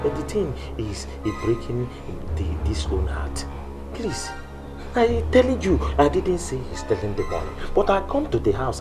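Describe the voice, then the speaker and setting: A woman's voice: rumbling low, exaggerated voice, poor audio. A woman playing an anxious shopkeeper who speaks broken English, pleading and repeating words. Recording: music playing underneath.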